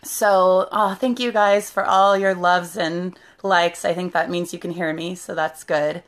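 A woman in her thirties, a therapist, with a raspy, hoarse voice, talking casually to viewers on a live stream and greeting them by name. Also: fairly low pitch, fairly even intonation, measured pace. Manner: chatty, greets viewers by name mid-sentence. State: hoarse from shouting. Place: living room with sofa, indoors. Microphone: phone camera at arm's length.

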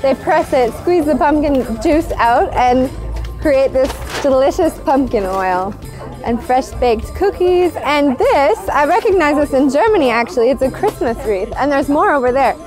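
A woman's voice: high voice, lively intonation, unhurried, a little mellow. A woman in her thirties presenting a travel vlog in an enthusiastic, explanatory way. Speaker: travel vlogger, host. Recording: music bed behind the voice. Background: background music.

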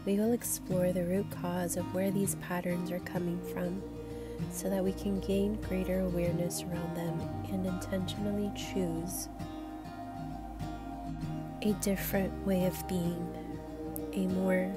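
Female meditation guide, lowish and flat in pitch, soothing, unhurried delivery with music behind. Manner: gentle, soothing, unhurried invitations. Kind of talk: slow guided meditation narration.

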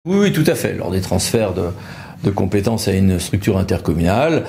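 A man's voice: deep voice, very animated, brisk, a little mellow, slight background noise. A man explains legal matters to camera in a conversational, loosely improvised way. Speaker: lawyer presenting an explainer video.

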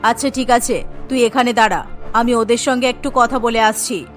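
A woman's voice: a little high, fairly even intonation, moderate pace, faint music behind, pitched below the performer's own voice, lightly acted. A woman voicing a snobbish rich middle-aged mother in a cartoon, who talks in a contemptuous, condescending way. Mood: scornful.

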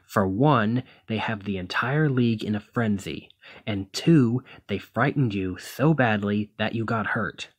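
A man playing a protective, conflicted demigod hero in a one-sided ASMR roleplay, speaking emotively and haltingly to the listener. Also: fairly low pitch, slightly rough. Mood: protective, grim. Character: brawny male demigod hero, protective, conflicted, exasperated lover.

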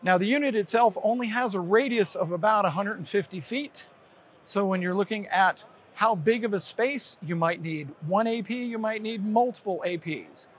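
An American man in his sixties with a husky voice, presenting a product to camera in an unscripted, explanatory, conversational way. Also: high-pitched, moderate pace. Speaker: product presenter, company representative. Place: large indoor trade show hall, at a booth.

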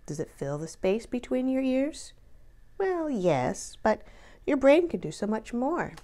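A woman reading a children's book aloud in an expressive, deliberate way, drawing out key words for emphasis. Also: a little high.

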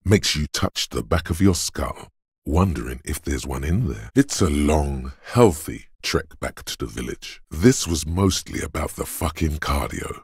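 A detective's bodily inner voice for a video game, grave and commanding. It is a bottomless bass, thick with gravel and very husky. Every line lands with solemn, portentous deliberation, like dramatic narration. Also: male voice, expressive, unhurried, darkish tone, dry recording, studio quality, heavily acted. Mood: gruff, dry. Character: Endurance, grave inner voice of a battered detective's body.

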